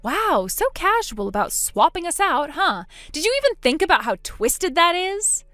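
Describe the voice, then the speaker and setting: A woman's voice: normal speed, no room sound, clean studio sound, exaggerated voice. A woman voicing a determined older sister who speaks firmly and steadily. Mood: cold anger. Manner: firm, steady, lower-voiced.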